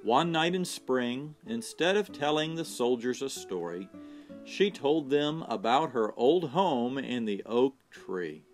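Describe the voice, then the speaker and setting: Male storyteller, children's read-aloud, slow delivery, lively expressive intonation, faint guitar backing. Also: mid-range voice. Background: soft guitar music.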